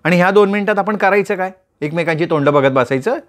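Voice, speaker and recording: A man voicing a chatty, carefree young woman who teases her friend in quick banter in a read-aloud story. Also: high voice, pitched above the performer's own voice, lightly acted. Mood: teasing. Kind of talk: storytelling with voiced characters.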